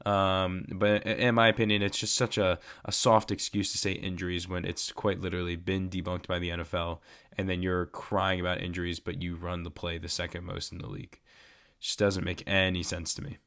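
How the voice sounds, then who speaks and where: A man's voice: deep voice, little pitch movement, a little fast, a bit bright. Stuffy-nosed man ranting about football. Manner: fired-up, repeats himself for emphasis. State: stuffed up with a cold. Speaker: host. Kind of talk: casual sports opinion vlog.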